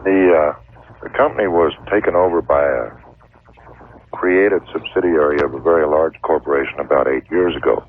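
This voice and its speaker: A middle-aged man speaks very low as a phone-in caller. He lays out his problem deliberately, with halting pauses. The line is tinny and narrow, with faint noise from an old radio tape.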